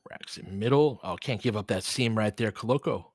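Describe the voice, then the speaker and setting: A man with a fairly low voice commentates on basketball in a close, dry recording. He talks conversationally and analytically, thinking aloud and slipping between play-by-play and chatty asides to listeners. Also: a little fast, slightly rough, no room sound. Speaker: sports streamer doing audio play-by-play and analysis. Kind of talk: live basketball commentary mixed with casual analysis and chat replies.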